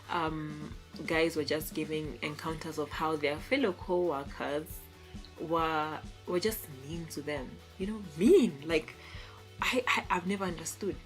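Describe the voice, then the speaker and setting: A young woman from Kenya chatting casually as a vlogger, reading out posts, laughing often and stopping to search for words. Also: sits low. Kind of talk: casual commentary reading tweets.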